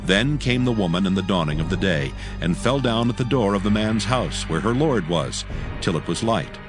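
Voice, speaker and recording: A man reads scripture as a dramatic narrator. His voice is fairly low with animated intonation and a solemn, formal delivery, over faint music. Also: normal speed.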